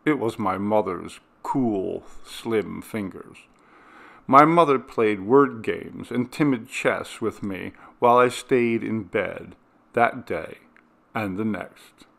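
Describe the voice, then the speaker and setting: A male narrator reads a ghost story aloud in a measured, hushed manner. His low voice has a gravelly texture, and he paces every line very slowly. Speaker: audiobook narrator.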